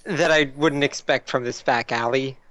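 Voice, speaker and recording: A man's voice: mid-range voice, steady tone, moderate pace, fairly bright tone, no echo. A man playing a tabletop role-playing game, casually asking questions about the scene.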